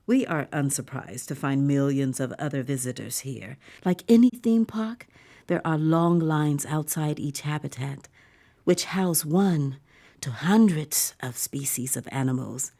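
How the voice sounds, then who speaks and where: An awed visitor to a bleak future theme park in an audio drama. Her voice is low and dark, with heavy creak. She narrates with breathy wonder and wry understatement, often dropping toward a whisper. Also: full character voice. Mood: matter-of-fact. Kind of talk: dramatic first-person audio fiction narration.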